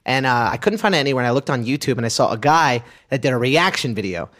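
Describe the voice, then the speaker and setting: A man's voice: medium pitch, quick pace. A man in his twenties co-hosting a podcast in casual chat, telling stories with laughter and acted-out quotes. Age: 20s. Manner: animated storyteller, laughs often, acts out quoted voices. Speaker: podcast co-host.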